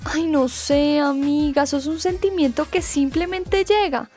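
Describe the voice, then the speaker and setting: A woman's voice: sits high, fairly even intonation, unhurried, darkish tone, soft background music. A woman playing a dreamy young Colombian woman in love, sighing and gushing in a radio soap. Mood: lovestruck. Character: dreamy lovestruck young woman gushing about her boyfriend.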